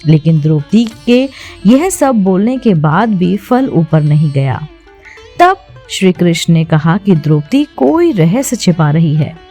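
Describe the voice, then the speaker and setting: Slow-paced woman narrating mythological tales. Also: on the low side, sing-song, warm tone. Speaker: narrator of a devotional story channel. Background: plucked-string instrumental music.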